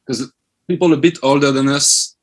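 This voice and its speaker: A man's voice: medium pitch, steady tone, moderate pace. A man from France with a French accent, a band musician chatting casually as an interview guest.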